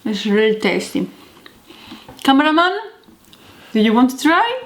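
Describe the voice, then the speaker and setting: Greek lady cooking at home, talks real slow, keeps stopping to find the words. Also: high-pitched, very animated. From Greece. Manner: chatty, halting, searches for words. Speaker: home cook. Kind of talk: casual cooking video.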